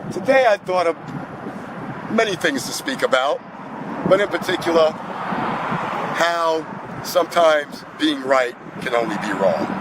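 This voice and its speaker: A man in his fifties with a hoarse, cold-roughened voice, rambling casually to his camera outside by a road in the early morning. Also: medium pitch, noisy background. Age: 50s. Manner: rambling, conversational, talks to the camera. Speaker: vlogger. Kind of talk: casual vlog monologue. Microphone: camera on a magnetic tripod.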